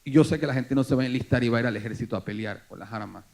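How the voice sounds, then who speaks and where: A man's voice: medium pitch, racing, gravelly, a little mellow. A man speaking earnestly and emphatically as a forum panelist.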